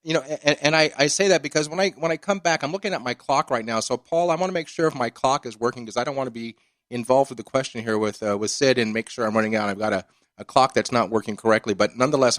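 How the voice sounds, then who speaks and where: Fast-talking brash radio host man. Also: mid-range voice, a bit bright, dry recording, studio quality. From East Los Angeles. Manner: brash, ranting, effusive. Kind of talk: casual radio talk. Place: radio studio.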